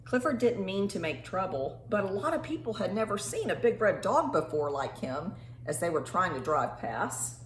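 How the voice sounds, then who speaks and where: A woman teacher reads a story aloud to small children at a medium pitch. She speaks expressively, stretching important words and checking in with simple questions. The recording has a roomy echo and some faint background noise. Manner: animated, simple teacherly phrasing with rhetorical questions and drawn-out emphasis. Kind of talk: expressive picture-book read-aloud with questions to young children.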